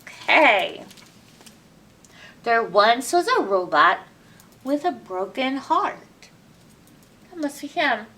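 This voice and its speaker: A woman reads a storybook to young children in a high voice that swoops around expressively. She takes it slowly and asks the listeners questions. She sounds a bit away from the mic, with some background noise. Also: big pitch swings, sharp tone, recorded from a distance. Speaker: library storytime reader. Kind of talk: read-aloud picture book storytelling for children, with asides.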